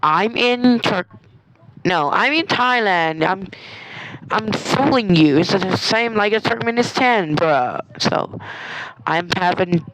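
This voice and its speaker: A speaker with halting, broken English, presenting the intro to a made-up emergency alert scenario. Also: medium pitch, animated, fairly bright tone, low-quality recording. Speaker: narrator, presenter.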